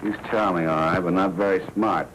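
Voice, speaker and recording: An actor playing a sardonic, menacing American crime boss and jealous husband in a 1940s film noir. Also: male voice, low voice, steady tone, fairly quick, fairly bright tone, soft background music. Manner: sardonic, needling, tough slangy talk. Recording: noisy old 1940s film soundtrack.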